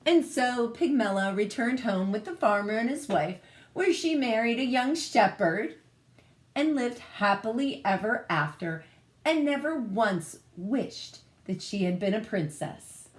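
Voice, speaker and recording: A woman reading a children's story aloud expressively, adding casual asides as she goes. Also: fairly high pitch. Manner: expressive, pausing to comment on the pictures. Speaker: picture-book reader.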